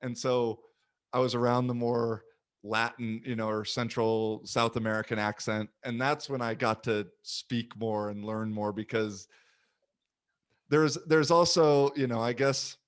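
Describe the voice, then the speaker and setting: A young man hosts a casual podcast chat in an easygoing, animated way. He drops quick asides and slips into playful impressions while telling stories. His voice sits in an ordinary mid register. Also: medium pitch. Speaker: podcast host. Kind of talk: casual conversation.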